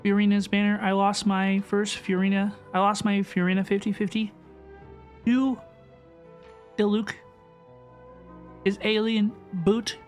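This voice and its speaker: Chatty woman livestreamer, medium pitch. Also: little pitch movement, unhurried, pauses often, a little mellow. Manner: chatty, effusive, reads out and answers viewer messages. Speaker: game livestreamer. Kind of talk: casual livestream chat and game reaction. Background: game music.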